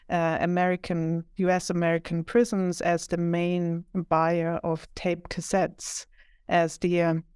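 A woman gives academic interview answers in a thoughtful, measured way. Her fairly low voice is smooth and clear, with little rise and fall. It is recorded close and dry. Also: darkish tone, dry recording. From Germany. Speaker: author, media scholar.

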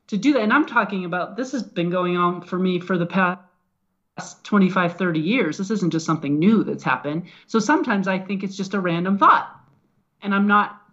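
A middle-aged woman co-hosts in a slightly low voice. Her talk is casual and personal, and the sound is a little dull and lo-fi. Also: animated, a little fast, fairly bright tone. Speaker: radio show co-host. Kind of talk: casual chat.

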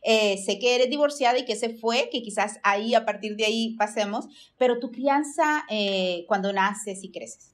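A woman's voice: a little high, moderate pace, hardly any pauses. A woman hosting an interview, talking in an informal, effusive and conversational way. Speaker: interview host.

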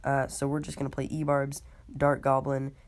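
A woman's voice: extremely low pitch, completely flat delivery, moderate pace, darkish tone. A woman with a cold hosting a gaming podcast, talking casually with many ums and uhs while she narrates her own live matches. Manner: laid-back, many ums and uhs, talks through live play. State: sick, voice a bit off. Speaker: podcast host.

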